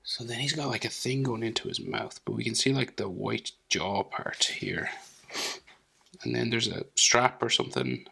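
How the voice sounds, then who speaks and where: A man's voice: mid-range voice, normal speed, vocal fry, bright, forceful tone, slightly rough. A man with a head cold, casually talking through a drawing step by step, thinking aloud with many fillers and dropping to near whispers. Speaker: drawing tutor.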